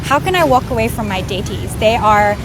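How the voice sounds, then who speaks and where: A young woman, raised in Australia and born in India, telling her spiritual story in an earnest, animated vlog, filmed outdoors on a street. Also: a little high, brisk, background noise. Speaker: vlogger. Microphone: camera filming herself. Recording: music clearly under the voice. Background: music.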